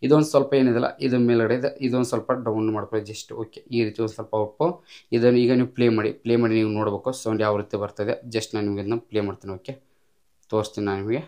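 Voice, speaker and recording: A man's voice: fairly low pitch, fairly even intonation, rapid-fire, mellow. A man hosting a casual tech tutorial, giving step-by-step instructions, often repeated, with English tech terms mixed in. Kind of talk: casual screen-recorded app tutorial.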